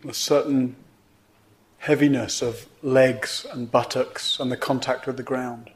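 Man slowly guiding a meditation. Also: mid-range voice, steady tone. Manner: measured phrases with pauses, gentle instructing. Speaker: meditation teacher. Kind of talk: guided meditation instruction.

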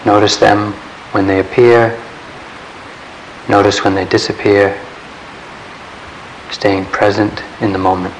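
A man leading a guided meditation in a calm, measured way, leaving long pauses between short phrases. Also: on the low side, poor audio. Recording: steady background hiss.

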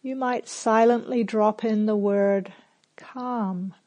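Woman, meditation teacher, very unhurried pace, soft mellow tone, calm and gentle, long pauses. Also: medium pitch. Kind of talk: guided meditation instruction.

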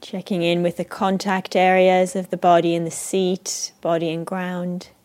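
A woman with an Israeli accent guides a meditation in a slow, soft, unhurried voice. Her tone sits fairly low and stays flat and even, with long pauses between phrases. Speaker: meditation teacher.

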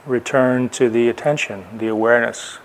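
Male meditation teacher, mid-pitched, short unhurried phrases with long pauses, clear ambient noise behind the voice. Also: fairly even intonation. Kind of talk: guided meditation instruction.

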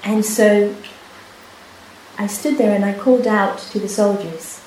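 Soft-spoken Hebrew-speaking woman teacher, echoey hall. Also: mid-range voice. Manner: soft-spoken, unhurried, with many pauses and restarts. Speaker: meditation teacher. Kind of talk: dharma talk with personal story. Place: retreat hall. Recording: echoey hall, faint hiss.

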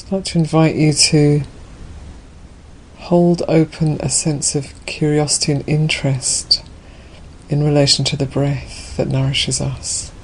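A woman leading a guided meditation as a Buddhist teacher, unhurried, leaving gentle pauses between her phrases. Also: low-pitched, level tone, slight background noise, poor audio.

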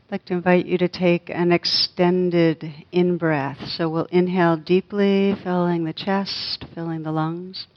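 A woman leading a guided meditation as a teacher, gentle and unhurried, with long pauses between soft phrases. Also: on the low side, level tone, dull audio.

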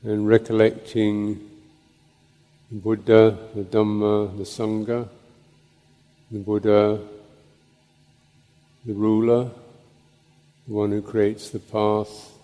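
A man teaching as a Buddhist monk, leading a guided meditation in a contemplative, halting way with pauses between short phrases. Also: lowish voice, monotone, mellow. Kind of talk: guided meditation and Dhamma talk. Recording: long reverberant hall echo.

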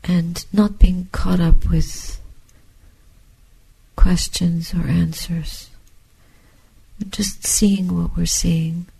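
Older female meditation teacher: hushed, very husky, gravelly voice, contemplative delivery in short, spaced phrases. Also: lowish voice, rough recording. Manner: measured, contemplative, short phrases with long pauses. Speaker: Buddhist nun and meditation teacher. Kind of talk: reflective dharma talk and guided meditation.

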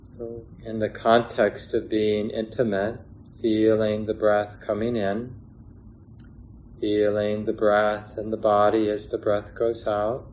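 Slow, low-voiced man guiding meditation. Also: monotone, slight background noise, poor audio. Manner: gentle, unhurried, pausing between short phrases. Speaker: meditation teacher. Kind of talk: guided meditation instruction, unscripted.

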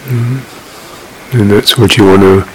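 A man guiding a meditation in an unscripted, halting, reflective way, leaving long pauses between phrases. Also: lowish voice, breathy edge, low-quality recording. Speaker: meditation teacher. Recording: steady background hiss.

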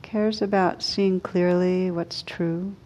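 A woman meditation teacher leading a guided meditation in a gentle, unhurried, soothing way with long pauses. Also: on the low side, fairly even intonation, rough recording.

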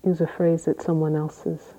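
Woman, low voice, mellow tone, Zen teacher, halting dharma talk. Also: level tone, rough recording. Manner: slow, halting, with long pauses.

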